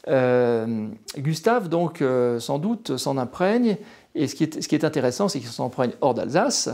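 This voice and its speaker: A man from France in his late sixties, a retired history professor, gives a personal account in an interview. He talks hesitantly, with many fillers and restarts, and his voice has a gravelly edge. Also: medium pitch, very animated, unhurried, darkish tone. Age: 60s.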